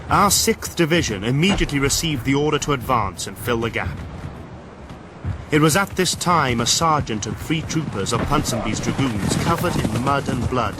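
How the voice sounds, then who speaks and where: An actor playing an eager, dutiful British army major in a period radio drama, speaking in a clipped officer's manner. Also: male voice, mid-range voice, background noise, close to an everyday voice. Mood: reflective.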